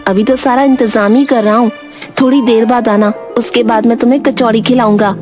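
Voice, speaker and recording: A woman's voice: a little high, fairly even intonation, brisk, music in the background, natural voice. A woman voicing a stubborn, principled young village son in a Hindi cartoon, earnest and polite. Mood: friendly. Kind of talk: Hindi moral-story cartoon acting.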